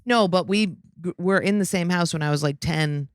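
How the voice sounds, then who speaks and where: A woman co-hosting a comedy podcast, raspy-voiced, telling blunt shocking jokes in casual chat. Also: lowish voice, normal speed, no room sound, studio quality. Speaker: podcast co-host.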